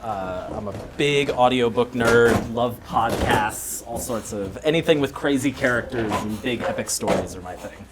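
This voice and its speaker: A man talks as an interview guest in an average-pitched voice, casual and enthusiastic. Noticeable background noise sits behind his words. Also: moderate pace, hardly any pauses. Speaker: interview guest working in audio fiction. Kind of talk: casual chat.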